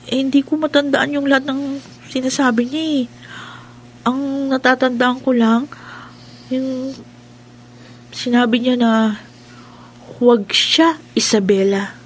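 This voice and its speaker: A high-voiced young woman, played by an actress, recounts a ghostly encounter in a radio play. She sounds uneasy and speaks in an everyday conversational way, her voice pitched well above most women's. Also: fairly even intonation, unhurried, pauses often, a little hiss or hum. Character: frightened young woman who saw a ghost. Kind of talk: radio drama acting.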